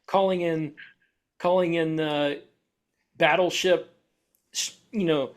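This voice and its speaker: A man's voice: fairly high pitch, steady tone, slow. A man co-hosting a podcast in a casual, conversational style. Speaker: podcast co-host. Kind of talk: casual chat.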